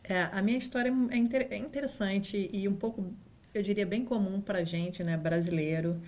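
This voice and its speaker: A woman's voice: medium pitch, normal speed, a little mellow. A woman with a Carioca Brazilian accent, a guest in an office, telling her story in a chatty way with fillers and acted-out quotes. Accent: Carioca Brazilian Portuguese. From Rio de Janeiro, Brazil. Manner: chatty, many fillers and restarts, acts out quotes. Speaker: guest, data scientist. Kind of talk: casual interview storytelling.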